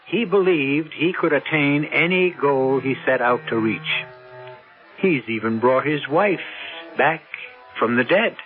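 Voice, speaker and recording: A muffled, narrow-band radio recording with music clearly behind it carries a male host. He narrates a mystery in a deliberate, suspenseful manner with rhetorical turns. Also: a little high. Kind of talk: dramatic scripted narration.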